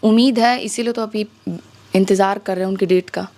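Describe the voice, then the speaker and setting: A woman's voice: mid-range voice, fairly even intonation, fairly quick, darkish tone. A young woman with a Bihari accent speaking as an interview guest, emphatic and insistent, often throwing out rhetorical questions. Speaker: interview guest, music-video actress. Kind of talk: spoken interview, airing grievances.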